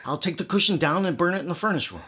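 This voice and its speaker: A man acts out an anxious schemer in a vintage radio crime play. His voice is sharp and husky, swinging widely in pitch as he gives terse, nervy orders like a would-be killer. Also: animated, rapid-fire, full character voice. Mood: coolly scheming. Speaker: actor. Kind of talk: old-time radio thriller acting.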